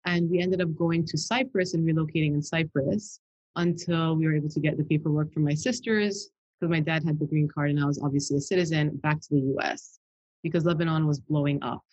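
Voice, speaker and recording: A woman's voice: sits low, steady tone, fairly quick, a little mellow, slightly rough, lo-fi sound. A woman from the US capital, a guest chatting in an interview, loose and talkative with lots of filler words. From Washington DC, United States. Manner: chatty, frequent "you know" and "like". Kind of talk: casual interview conversation.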